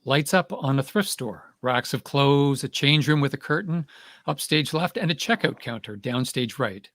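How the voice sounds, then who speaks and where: Dry-sounding stage directions narrator, middle pitch. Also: animated, normal speed, fairly bright tone, no echo. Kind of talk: scripted narration.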